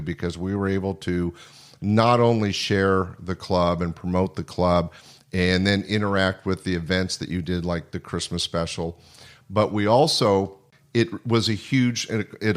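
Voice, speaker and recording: A middle-aged or older American man hosting a casual, conversational interview podcast. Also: low voice.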